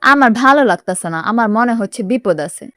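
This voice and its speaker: A woman's voice: on the high side, animated, fairly quick, a bit bright, dry recording, studio quality. A woman voicing a timid, cautious schoolgirl in a moral cartoon. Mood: alarmed.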